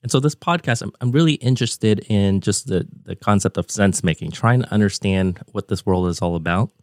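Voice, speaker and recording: A man's voice: on the low side, a little fast, no echo, studio quality. A man of about forty hosting a podcast interview over a remote call, talking in a conversational, enthusiastic and discursive way. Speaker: podcast host.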